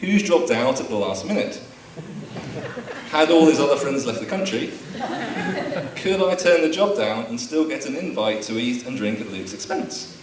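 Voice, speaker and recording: A man's voice: medium pitch, lively intonation, normal speed. Man, best man, dry teasing wedding speech, taped far off with faint noise. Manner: dry, teasing humour read from a prepared speech. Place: wedding reception room. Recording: echoey room taped from far off.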